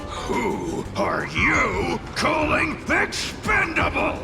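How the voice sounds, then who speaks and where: A man voicing a cranky, cocky spirit companion in a video game, brash and gravelly. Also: very high voice, sing-song, very slow, raised voice, heavily acted. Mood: brash, indignant. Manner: brash, swooping, exclaiming. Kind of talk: scripted video game voice acting. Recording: voice under prominent music.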